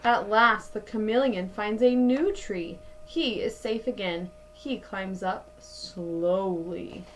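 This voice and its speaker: A woman in her thirties reading a picture book aloud to children like a teacher, with deliberate, dramatic emphasis. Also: mid-range voice, slight background noise, low-quality recording. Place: small indoor room at home.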